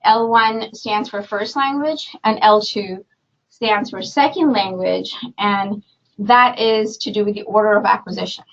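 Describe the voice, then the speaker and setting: A woman's voice: medium pitch, measured pace. Chatty woman lecturing, muffled recording. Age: middle-aged. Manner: chatty, self-correcting, frequent fillers. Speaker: university lecturer. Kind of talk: academic seminar talk. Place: seminar room with live audience.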